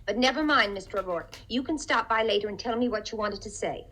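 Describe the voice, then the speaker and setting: An actress playing a cheerful, effusive, chatty office employee in a 1950s radio comedy-drama. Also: female voice, mid-range voice, fast, over loud music, natural voice. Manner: effusive, chatty, folksy Irish-American turns of phrase. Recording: old 1950 radio broadcast.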